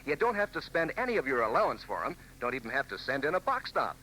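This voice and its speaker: A man reading a peppy radio commercial in a salesy, lively way. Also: medium pitch, fast talker, a little hiss or hum, rough recording. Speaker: commercial announcer. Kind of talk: radio ad read.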